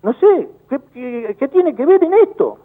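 An Argentine man, a business chamber director, speaking indignantly on a phone call and repeating words in rapid bursts. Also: very high voice, sing-song. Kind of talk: heated phone-in interview. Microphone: telephone. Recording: tinny phone line.

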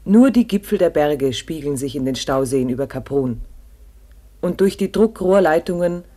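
A woman's voice: sits low, expressive, moderate pace, mellow. A woman narrating a radio drama in a solemn, literary style.

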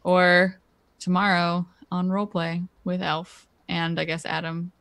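Smooth, flat-voiced low-key woman. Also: unhurried, no echo. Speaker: stream player. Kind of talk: casual chat.

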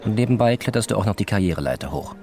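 An actor playing a coaxing, matter-of-fact police officer in a German radio drama. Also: male voice, fairly low pitch, lively intonation, rapid-fire, a little mellow, faint music behind. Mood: matter-of-fact persuasion. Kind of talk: German radio play acting.

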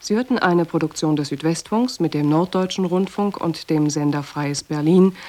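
A woman radio announcer reading scripted credits in a formal, measured, factual way. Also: deep voice, mellow.

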